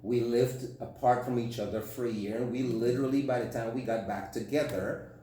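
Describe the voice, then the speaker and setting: A man's voice: mid-range voice, fairly even intonation, brisk, warm tone. A middle-aged man co-hosting a podcast as a relationship coach, talking in a frank, emphatic, opinionated conversational way. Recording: very echoey room, distant mic, faint hum.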